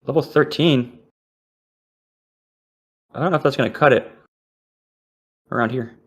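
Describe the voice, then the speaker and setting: A young man streaming a game live, reacting excitably and swearing as he plays. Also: mid-range voice, measured pace, slightly rough. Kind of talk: live gaming commentary.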